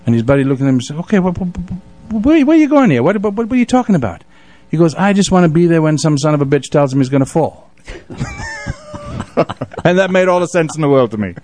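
A middle-aged man from Africa hosting a casual radio interview, telling anecdotes in an expressive, rambling way. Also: on the high side, fast talker. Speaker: radio show host.

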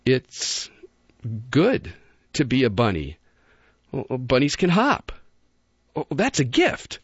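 A man voicing a small, lonely young male bunny who talks in a high, pleading, sobbing way. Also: huge pitch swings, takes it slowly, husky, no room sound, dull audio, heavily acted. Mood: wondering.

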